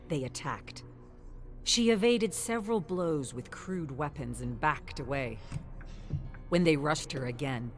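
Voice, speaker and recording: Female narrator, notably deep register, dramatic scripted storytelling at a measured delivery, faint music bed.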